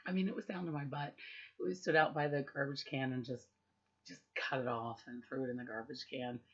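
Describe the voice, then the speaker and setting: A woman with a hoarse, husky voice after losing it, giving a candid, rambling personal update at home and laughing at herself now and then. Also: a bass voice, fairly even intonation, brisk. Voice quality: husky, strained. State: hoarse, recovering from lost voice. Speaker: vlogger giving a health update. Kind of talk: casual personal update to camera. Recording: dull lo-fi home recording.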